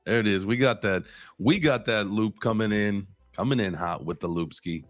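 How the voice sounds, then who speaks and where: A man's voice: on the low side, moderate pace, no echo, faint music behind. A man hosting a podcast, chatting casually and rambling with lots of fillers and slang over a remote stream. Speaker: podcast host. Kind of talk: casual chat.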